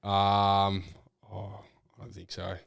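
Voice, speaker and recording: A young Australian man answering interview questions about his football in a relaxed, rambling way, with many fillers and restarts. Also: on the low side, slow, gravelly, dry recording, studio quality. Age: young adult. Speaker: draft prospect guest. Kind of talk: casual interview.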